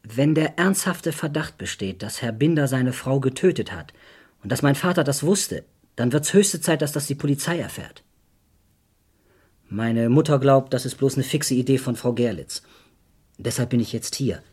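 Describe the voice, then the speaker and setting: Husky, earnest man pressing for truth. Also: mid-range voice, lively intonation, moderate pace, darkish tone. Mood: urgent, pleading. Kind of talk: German crime radio play acting.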